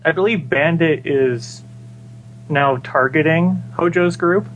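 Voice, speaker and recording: A man's voice: a little high, unhurried, muffled recording. A man co-hosting a casual podcast, rambling through a recap with many fillers and restarts. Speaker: podcast co-host. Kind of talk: casual chat reviewing an anime episode.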